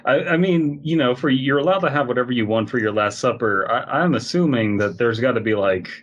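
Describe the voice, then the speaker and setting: A man's voice: medium pitch, a little fast, highs cut off. A man co-hosting a comedy podcast, riffing jokily in casual conversation. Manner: joking, riffing with mock quotes. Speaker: podcast co-host.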